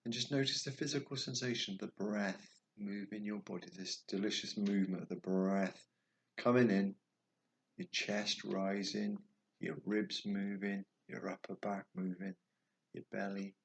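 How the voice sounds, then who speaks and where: A man guiding a meditation, speaking slowly and softly, at times dropping toward a whisper. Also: on the low side, fairly even intonation, creaky. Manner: slow, soft and soothing, trailing off toward a whisper. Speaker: meditation guide. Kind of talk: guided meditation.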